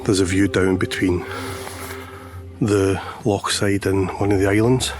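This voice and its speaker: A hoarse man, chatting casually as he vlogs outdoors about landscape photography, with many pauses and fillers. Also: deep voice, fairly even intonation. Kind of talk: casual vlog narration. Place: outdoors on a lakeshore, walking. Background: background music.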